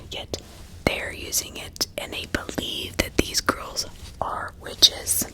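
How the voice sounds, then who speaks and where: A woman whispering ASMR true-crime commentary in a breathy, unvoiced, conversational way with emphatic repetition. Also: takes it slowly. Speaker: ASMR host.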